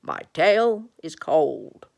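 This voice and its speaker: A woman's voice: sits low, sing-song, vocal fry, darkish tone, full character voice. A woman voicing a gloomy old male donkey who grumbles and complains mournfully in a children's storybook. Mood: gloomy, grumbling. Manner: slow, mournful, complaining, muttering.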